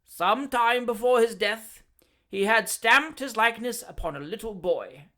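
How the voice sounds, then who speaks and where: A man voicing a pompous, blustering courtroom barrister who speaks in grand, booming oratory for an audiobook. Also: animated, normal speed, fairly bright tone. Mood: grandiloquent.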